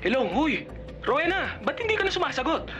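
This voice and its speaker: A man's voice: very high-pitched, big pitch swings, fairly quick, bright, forceful tone, faint music behind, full character voice. An actor playing an eager, teasing male hacker sidekick in a Filipino radio drama. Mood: teasing, incredulous.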